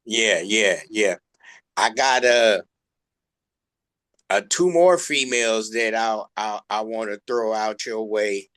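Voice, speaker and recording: Slow-talking man, dry close sound. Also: lowish voice, a bit bright, smooth, no echo. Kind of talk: casual chat.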